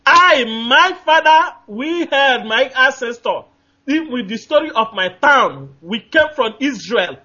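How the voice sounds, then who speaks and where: A man's voice: very high voice, lively intonation, a little slow, sharp tone, lo-fi sound. A man with a Nigerian accent calling in to a radio talk show, speaking emphatically and repeating his points, often groping for names with fillers. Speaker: caller.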